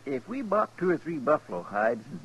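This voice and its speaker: Creaky, high-voiced eager deputy. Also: male voice, big pitch swings, brisk, a bit bright, heavily acted, rough recording. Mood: eager, earnest. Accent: rural American. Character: eager, naive, folksy deputy full of homespun ideas. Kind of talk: 1950s radio western acting.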